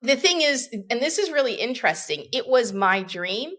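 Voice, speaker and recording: A woman's voice: fairly high pitch, big pitch swings, moderate pace, fairly bright tone. Reflective woman in her fifties. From Canada. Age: 50s. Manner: reflective, rambling with many asides. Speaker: guest, coach. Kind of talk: casual interview conversation.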